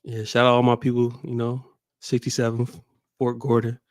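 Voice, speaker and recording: A man in his twenties co-hosting a casual podcast, easygoing and slangy, quick to laugh. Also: medium pitch, completely flat delivery, no room sound, studio quality. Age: 20s. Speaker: podcast co-host. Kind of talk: casual chat.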